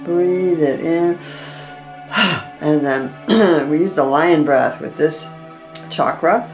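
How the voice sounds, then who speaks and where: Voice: mid-range voice, faint music behind, poor audio. A meditation guide leading a chakra session, drawing out the breathing cues. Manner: slow, drawn-out breathing cues.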